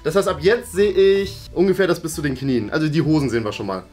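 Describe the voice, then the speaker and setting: A man's voice: a little high, quick pace, a little mellow, soft background music. A man in his thirties with a German accent, casually hosting and commenting on a guessing game in a room. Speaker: host and contestant of a guessing game show. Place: medium-sized indoor room.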